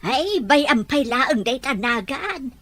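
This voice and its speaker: A woman's voice: high-pitched, animated, takes it slowly, a bit bright, dry recording, heavily acted. A woman playing a forgetful, confused old grandmother in an audio drama, repeating herself. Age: older. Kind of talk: amateur audio drama acting.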